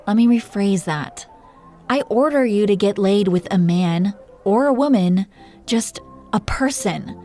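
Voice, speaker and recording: A woman's voice: medium pitch, lively intonation, unhurried, warm tone, faint music behind, natural voice. A woman playing a commanding, playful, possessive dragon queen in an intimate roleplay audio drama. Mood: teasing, bossy. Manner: imperious, playful, teasing.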